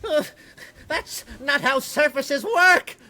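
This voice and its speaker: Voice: high-pitched, lively intonation, takes it slowly, bright, forceful tone, exaggerated voice. An actor voicing a wry, chatty piece of bubble gum, the optimist of a group in a comedic audio drama. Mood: alarmed. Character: soothing, sardonic, optimistic team-minded piece of bubble gum.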